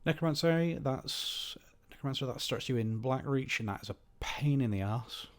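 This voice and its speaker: A slightly hoarse man giving rambling, wry, opinionated commentary while playing a game. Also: medium pitch, animated, moderate pace. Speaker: gaming host. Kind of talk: casual gameplay commentary.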